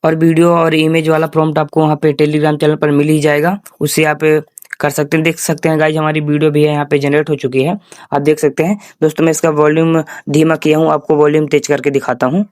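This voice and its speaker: A man's voice: a little high, little pitch movement, quick pace, darkish tone, no echo, studio quality. A man hosting an informal step-by-step screen tutorial, addressing viewers as friends with repetitive instructional phrasing. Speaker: tutorial host.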